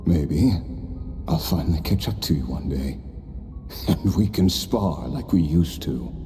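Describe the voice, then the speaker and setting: A man's voice: very deep voice, lively intonation, measured pace, in a large hall, soft background music. A man voicing an immortal, driven warrior in a game, reflective and wry. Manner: reflective, wry, quiet laughs. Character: immortal, driven male warrior recalling his past. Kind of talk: game voice acting.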